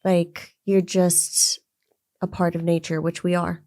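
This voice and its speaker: A woman's voice: fairly low pitch, level tone, dark tone, no room sound, clean studio sound. A woman hosting an interview, affirming and encouraging her guest as she asks questions. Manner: affirming, quick to agree and encourage. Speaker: podcast host. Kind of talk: warm interview conversation.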